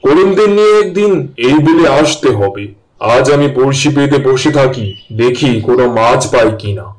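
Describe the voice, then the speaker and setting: A man playing an earnest, kindly village husband and fisherman in a fairy-tale cartoon, with an expressive, exclaiming delivery. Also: medium pitch, normal speed, a little hiss or hum, natural voice, poor audio. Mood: curious.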